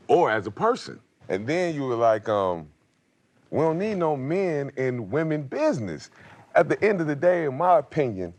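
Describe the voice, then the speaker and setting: A man on a reality show reunion, lecturing in a firm, measured way. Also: mid-range voice, a little mellow. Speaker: reality show cast member. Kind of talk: arguing at a televised reunion.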